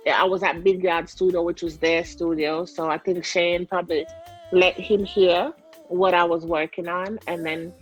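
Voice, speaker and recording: A woman's voice: lowish voice, flat delivery, normal speed, dull audio, faint music behind. A young adult woman with a light Jamaican accent, telling her story as an interview guest in a chatty, rambling way full of fillers.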